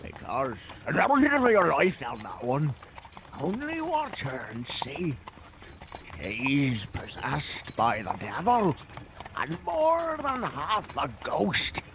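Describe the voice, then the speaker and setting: An actor playing a folksy, pious old carriage driver who talks in rustic dialect with a teasing, foreboding manner in an audio drama. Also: male voice, fairly high pitch, wildly swooping pitch, slow, noisy background, heavily acted. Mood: ominous.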